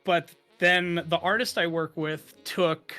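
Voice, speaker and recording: A man's voice: a little high, steady tone, slow pace, pushed and bright, faint music behind. A young man chatting as a guest on an online interview show, giggling often and rambling with many fillers. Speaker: VTuber guest. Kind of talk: casual interview chat.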